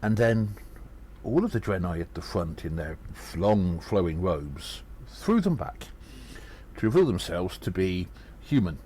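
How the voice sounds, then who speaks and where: A man playing a small, agile adventurer who recounts battles in an audio drama, earnest and dramatic, with halting pauses. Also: sits low, big pitch swings, dark tone, a little hiss or hum. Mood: gripped, suspenseful. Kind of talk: first-person audio drama narration.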